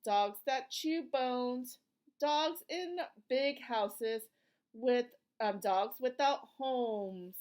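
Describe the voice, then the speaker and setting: A woman's voice: a little high, extremely slow, a little mellow. A woman reading a picture book aloud to young children in an encouraging, sing-song teacher's manner, asking questions and drawing out short phrases.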